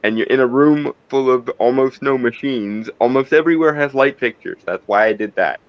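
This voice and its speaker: A man's voice: mid-range voice, fairly quick, no echo, highs cut off. A man playing a tabletop game, casually explaining and reasoning out the logic of what happens. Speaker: player.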